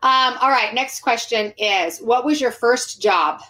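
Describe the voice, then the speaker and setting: Woman in her forties, podcast host, chatty, with a slightly higher voice. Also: a little slow, sharp tone, low-quality recording. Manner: friendly, rambling, lots of fillers. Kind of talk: casual interview chat.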